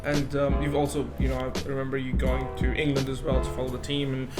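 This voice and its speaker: A man from Bangladesh interviewing a guest casually, often saying "yeah" and pausing on "um". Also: medium pitch, completely flat delivery. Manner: frequent "yeah" backchannels, hesitant with fillers. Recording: faint music behind.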